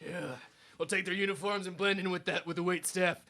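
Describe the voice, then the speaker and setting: A man playing a bright, hammy bumbling detective. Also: sits high, steady tone, brisk. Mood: cocky. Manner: hammy, fumbles words. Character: bumbling, self-important private detective. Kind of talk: parody noir radio narration and acting.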